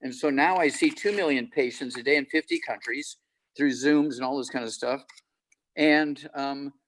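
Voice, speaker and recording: A man's voice: mid-range voice, moderate pace, fairly bright tone, a little hiss or hum, poor audio. A man in his eighties, a panel guest on a video call, talking boastfully and rambling with many fillers. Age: 80s.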